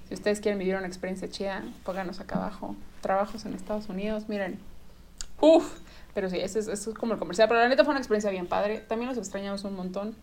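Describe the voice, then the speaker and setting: A woman's voice: mid-range voice, fast talker. Chatty young Mexican woman telling stories. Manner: animated storytelling with Mexican slang. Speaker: guest. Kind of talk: casual podcast chat. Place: studio in front of a camera and light.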